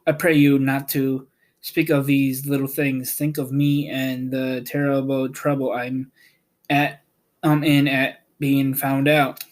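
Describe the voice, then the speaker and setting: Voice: mid-range voice, flat delivery, takes it slowly, natural voice. A man voicing an apologetic little humbug wizard who explains himself in a storybook reading. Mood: anxious confession.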